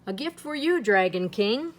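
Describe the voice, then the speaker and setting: A woman's voice: medium pitch, expressive, moderate pace, close to an everyday voice. A woman voicing a greedy, ungrateful male flower seller from a storybook, speaking with grumbling, wishful emphasis. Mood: calling out politely.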